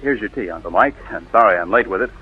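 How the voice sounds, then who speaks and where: An actor playing a nervous, scheming nephew and gambler in a 1940s radio drama. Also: male voice, fairly low pitch, expressive, fast, noisy background, natural voice. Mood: solicitous, uneasy. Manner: evasive, stammering under pressure. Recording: thin, muffled old radio recording.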